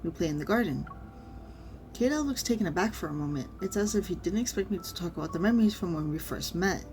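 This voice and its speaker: A woman's voice: fairly low pitch, animated, fast, a little mellow. Woman streamer with faint noise behind her. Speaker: streamer reacting to a game. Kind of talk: reading game story aloud with casual reactions.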